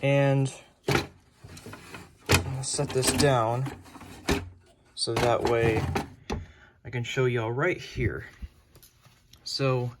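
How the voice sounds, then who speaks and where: A man giving a casual, informal hands-on DIY demonstration as a hobbyist. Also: medium pitch. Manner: unhurried, informal. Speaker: hobbyist presenter. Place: his room at home. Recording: clearly noisy home recording with clicks.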